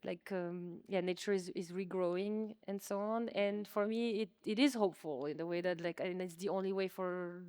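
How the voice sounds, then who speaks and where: A woman's voice: on the low side, fairly even intonation, normal speed, few pauses, a little mellow, clear, resonant tone, no echo, studio quality. A woman whose native language is French, speaking informally and self-deprecatingly in a conversational artist's talk. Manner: informal, self-deprecating, hedging with many likes. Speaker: artist, interviewee. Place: museum exhibition space.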